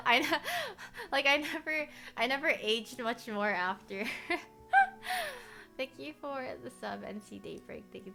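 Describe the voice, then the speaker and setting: A woman's voice: mid-range voice, bright, forceful tone. A young woman whose first language is Korean, livestreaming casual chat with viewers. She rambles, fills her talk with "like," and laughs often.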